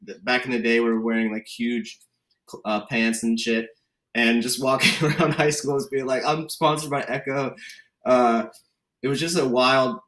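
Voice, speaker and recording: A man's voice: medium pitch, a bit bright. Laid-back guest man in a roomy space. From Connecticut. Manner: laid-back, rambling with many fillers. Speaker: podcast guest. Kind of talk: casual conversation. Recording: roomy echo.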